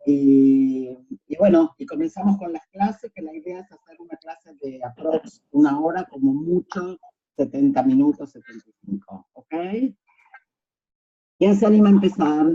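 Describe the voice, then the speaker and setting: A woman's voice: fairly low pitch, very animated, normal speed, gravelly, slightly rough. A woman leading an informal online group class, often checking with her listeners that they follow. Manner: frequent checking questions. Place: online video call. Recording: dull lo-fi video-call audio.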